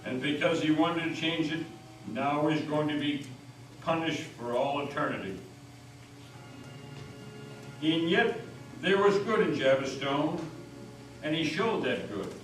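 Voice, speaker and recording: A man's voice: fairly high pitch, animated, measured pace, long pauses, fairly bright tone, soft background music. A man giving an impassioned, oratorical reading of a scripted dramatic story as narrator. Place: old meeting house, live performance. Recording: very echoey hall, distant mic, faint noise.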